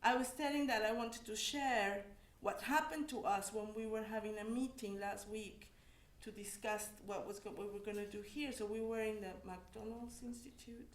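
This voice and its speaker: A woman's voice: medium pitch, steady tone. A woman from Mexico, an academic on a panel, speaking reflectively with frequent restarts. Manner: reflective, halting, many restarts. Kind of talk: personal testimony on a panel. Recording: echoey room.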